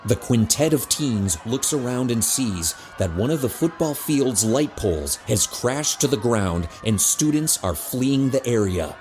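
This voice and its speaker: A man's voice: medium pitch, expressive, measured pace, hardly any pauses, a little mellow, slight background noise. A man delivering dramatic scripted narration as the narrator of an audio drama.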